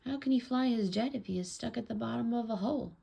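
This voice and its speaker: A woman's voice: fairly high pitch, fast talker, vocal fry, warm tone, husky, pitched above the performer's own voice, full character voice. A woman voicing a bold, stubborn young girl in a children's storybook. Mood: concerned, pleading.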